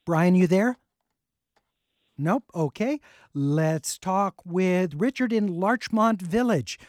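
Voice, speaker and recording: A man's voice: sits high, lively intonation, takes it slowly, a little mellow, no echo, clean studio sound. A man hosting a radio call-in show, chatting casually and reading listener comments aloud. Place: radio studio. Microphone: studio microphone.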